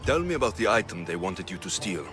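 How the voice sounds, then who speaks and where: Music behind, stoic mercenary hero, low voice, wry, blunt, resolute, game cutscene acting. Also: male voice, sing-song, fast talker. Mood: challenging. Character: stoic, dry-witted male ancient Greek mercenary hero.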